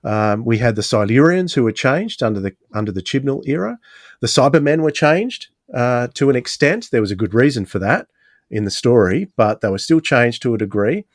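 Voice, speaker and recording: A man's voice: mid-range voice, lively intonation, moderate pace, dry recording, studio quality. A man hosting a podcast in a casual, chatty style. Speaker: podcast host.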